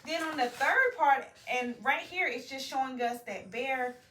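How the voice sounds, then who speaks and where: A woman telling a story to a young child as a mother, animated, prompting with questions and repeating the answers. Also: sits high, unhurried. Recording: roomy, distant home recording with faint noise.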